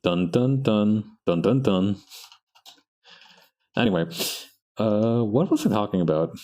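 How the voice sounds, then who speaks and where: A young man whose first language is Spanish talks casually while drawing on a live stream. His voice sits low, but his pitch jumps around a lot as he rambles and breaks off into side stories. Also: very animated, takes it slowly, mellow, dull audio. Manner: chatty, meandering, laughs often, trails off mid-sentence. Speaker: artist streaming practice.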